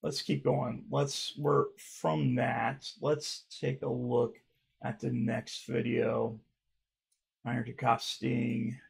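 Gravelly-voiced man rambling through comments. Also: mid-range voice, flat delivery, takes it slowly, slight background noise. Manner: rambling, swears, repeats himself, admits he stutters. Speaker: YouTuber reading fan comments. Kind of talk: casual reaction commentary. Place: dark room at home.

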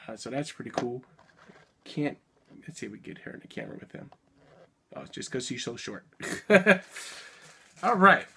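A man in his thirties chatting casually through an unboxing at a desk, upbeat with quick little laughs, a bit sick and sniffling. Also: mid-range voice, big pitch swings, creaky, sharp tone, breathy edge. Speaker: toy unboxing vlogger. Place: indoor room full of collectibles.

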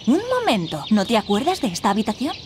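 A kindly magical fairy guide from a video game. Her voice is high and very animated, encouraging and instructive as she explains things. There is clear noise behind her. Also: fast. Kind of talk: scripted game tutorial dialogue.

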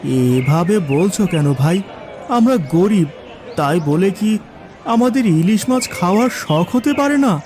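A man's voice: sits high, animated, slow pace, a little mellow. A man playing a poor, humble and devoted village son in a cartoon fairy tale, with an earnest, pleading way of talking. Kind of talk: animated fairy tale acting.

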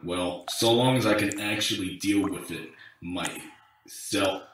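A weary male maintenance worker played by an actor in an audio drama, grumbling with dry sarcasm. His voice is fairly low and slow, and it echoes heavily as if down a tunnel. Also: fairly bright tone, faint music behind. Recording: echoey tunnel, distant from the mic.

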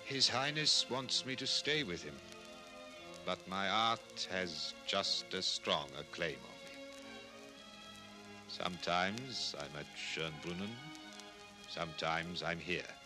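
A lonely composer confiding in a letter on a 1960s story record. He is solemn and low, taking his time over each phrase. Music plays clearly underneath. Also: expressive, slow, pauses often. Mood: melancholy. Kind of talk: 1960s children's record drama acting.

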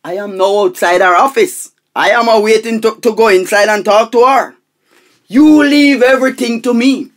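A woman's voice: fairly high pitch, close to an everyday voice. Woman playing a flustered Jamaican government minister. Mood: confident. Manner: repeats phrases emphatically, halting when agitated. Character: flustered, self-important money minister, gossipy and defensive. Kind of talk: radio comedy drama acting.

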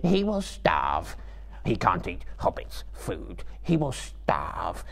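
A skinny, malicious cave creature from a storybook. The voice is raspy and gravelly, swooping between hissing mutters and self-pitying whines. It wheedles slowly, stretching out its words. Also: male voice, deep voice, huge pitch swings, fairly bright tone, exaggerated voice. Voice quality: raspy, creaky, hissing whisper.

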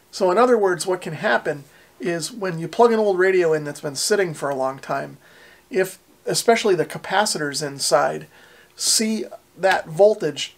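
Higher-voiced American man explaining methodically. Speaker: electronics repair video host. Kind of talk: informal technical tutorial. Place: small unfinished basement workshop.

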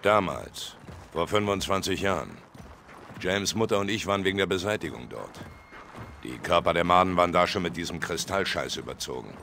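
Deep, gruff old veteran soldier. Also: male voice, fairly quick, bright, forceful tone, a little hiss or hum, heavily acted. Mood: dry, calm. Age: older. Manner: terse, dry, commanding. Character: gruff, weathered veteran soldier father, dry-witted. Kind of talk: German video game dubbing.

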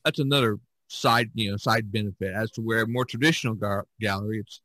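A man's voice: lowish voice, steady tone, a little fast, sharp tone, dry recording. A man hosting a casual podcast discussion as a painter, talking in a rambling, chatty way.

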